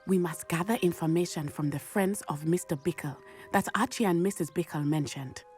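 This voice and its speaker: A woman's voice: lowish voice, moderate pace, darkish tone, soft background music, natural voice. A woman voicing a resolute young student ally in a video game, formal and precise in her speech.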